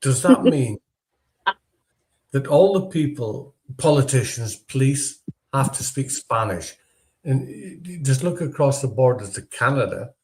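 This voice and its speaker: A man hosting a casual live group discussion, chatty and quick to jump in over others, swearing now and then. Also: mid-range voice, animated, a little mellow, a little hiss or hum. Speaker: host. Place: lives in Germany.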